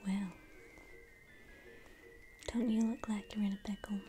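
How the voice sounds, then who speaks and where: A young woman playing a sweetly menacing female circus clown in an ASMR roleplay, coaxing and teasing in a breathy whisper. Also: mid-range voice, little pitch movement, measured pace, dark tone, natural voice. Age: young adult. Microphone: close ASMR microphone. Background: music.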